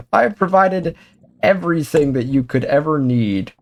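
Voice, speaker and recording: A man's voice: on the high side, slow pace, creaky. Chatty man narrating his gameplay. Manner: chatty, talks to himself and to the game, jokey asides; sudden excited exclamations. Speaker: let's play host. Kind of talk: casual gameplay commentary.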